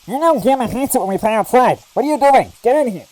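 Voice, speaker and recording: A man's voice: extremely high pitch, lively intonation, fast talker, a little mellow, no echo, voice pushed up, rough recording. A man voicing a timid, jittery male Sniffet doorkeeper with a nasal, whiny way of talking, in a storybook reading. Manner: anxious, whiny. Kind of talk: storybook voice acting.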